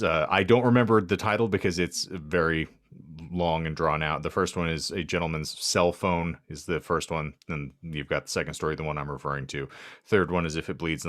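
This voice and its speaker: A man's voice: extremely low pitch, moderate pace, a bit bright, dry recording, clean studio sound. A man co-hosting a podcast, talking casually about books in wordy, articulate phrasing. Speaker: podcast co-host. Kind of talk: casual book chat.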